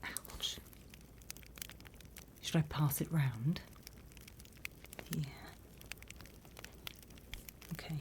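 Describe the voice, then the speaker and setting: A British woman performing an ASMR roleplay as a class instructor, mostly whispering in a breathy, gentle, conspiratorial way. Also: low voice, drawn-out words, a little hiss or hum. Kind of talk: ASMR roleplay, whispered and soft-spoken lesson.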